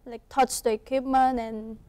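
A young woman from South Korea speaking English with a Korean accent, answering questions as a student guest in a small college TV studio. She talks in halting, simple phrases and laughs softly often. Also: on the high side, flat delivery, clear, resonant tone, no room sound. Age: young adult. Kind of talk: studio interview. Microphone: lapel mic.

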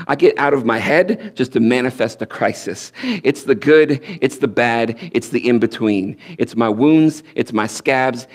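A man in his thirties performing spoken word poetry on a church stage through a headset mic. He speaks in a rhymed, sing-song cadence that swells, then drops to near-whispers. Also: mid-range voice. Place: indoor church stage with curtains.

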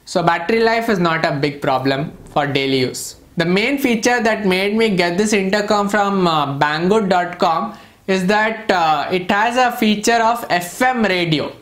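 A man in his twenties with an Indian English accent and a high voice for a male speaker. He talks casually as a product reviewer, explaining things informally and haltingly, often circling back over a point. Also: a bit bright. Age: 20s. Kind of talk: casual to-camera gadget review.

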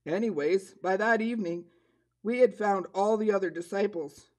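A woman voicing an earnest disciple puppet, telling a story to children in a deliberate manner. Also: mid-range voice, darkish tone, natural voice. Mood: earnest awe. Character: earnest disciple puppet recounting Jesus' return.

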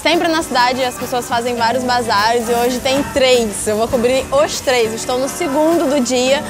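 A woman's voice: high voice, moderate pace. A young Brazilian woman chats casually and informally to camera as a fashion vlogger, addressing her followers directly. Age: young adult. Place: outdoors by a storefront and street. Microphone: lapel mic. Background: music.

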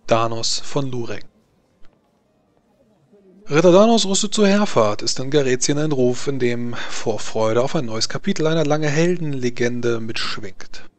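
A man's voice: medium pitch, unhurried, no room sound. A man narrating an improvised tabletop roleplaying session as game master.

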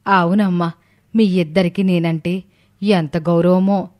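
A woman playing a proud, gullible older mother-in-law in an animated story, with a dramatic delivery. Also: fairly low pitch, slow. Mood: flattered.

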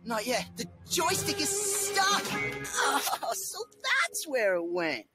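A woman's voice: very high-pitched, wildly swooping pitch, slow, over loud music, heavily acted. A woman voicing an easygoing, goofy young male pilot in a cartoon. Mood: laid-back. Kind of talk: cartoon acting.

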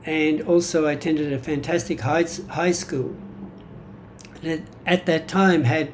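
A man in his seventies with an Australian accent, giving a reflective personal monologue from his notes in a halting, deliberate manner. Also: fairly high pitch, fairly even intonation, a little hiss or hum. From Greek-born, grew up in Australia. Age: 70s. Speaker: vlogger sharing personal history. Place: small indoor room at home.

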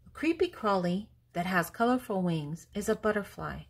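An older woman reading a picture book aloud to young children in a deliberate, teacherly way, posing questions and answering them, with pauses between words. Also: medium pitch, animated, slightly rough.

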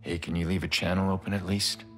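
An actor playing a grim, weary middle-aged vigilante in a video game, speaking in terse, strained, low-key lines. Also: male voice, little pitch movement, fast, natural voice. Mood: grave, pleading. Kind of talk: video game cutscene acting. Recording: music behind the voice. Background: cinematic music.

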